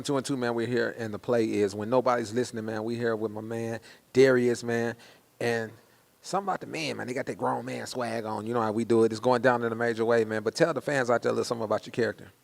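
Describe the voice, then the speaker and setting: Man, American accent, interview host, slangy hype-man style, quick pace, creaky voice. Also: medium pitch, steady tone. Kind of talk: hyped promotional street-style interviewing.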